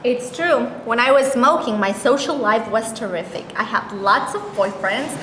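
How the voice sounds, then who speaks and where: An actress plays an agreeable, earnest woman in a comic staged reading. Her fairly high voice carries in an echoey hall. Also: normal speed, a bit bright, close to an everyday voice. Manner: conciliatory, earnest. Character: agreeable, hopeful woman, forgiving ex-smoker. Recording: echoey hall, background noise.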